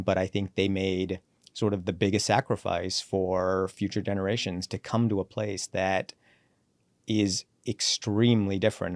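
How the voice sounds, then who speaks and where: A man in his forties with a fairly low voice tells his career story as a podcast guest. He moves briskly through the story and acts out the lines he quotes. The recording is close and dry. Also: no echo. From born in Sri Lanka, raised in Canada. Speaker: guest, company CEO. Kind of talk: relaxed podcast interview, storytelling.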